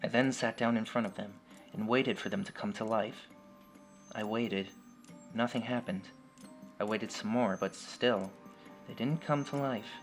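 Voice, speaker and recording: A man's voice: lowish voice, a little slow, pauses often. A man reading a scripted first-person horror story as its storyteller. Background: music.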